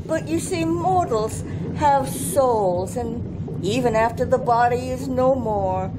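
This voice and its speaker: Solemn, slow old mermaid grandmother played by a woman. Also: a little high. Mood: solemn, wondering. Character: wise old mermaid grandmother, loving, grave, protective. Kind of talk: old-time radio drama acting. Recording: very noisy live theater recording.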